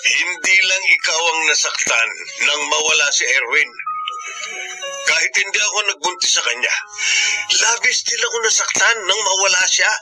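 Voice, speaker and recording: A man's voice: high voice, normal speed, sharp tone, faint music behind, rough recording. An actor playing a middle-aged Filipino father in a radio drama, reasoning firmly in an earnest, emphatic way. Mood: firm, pleading.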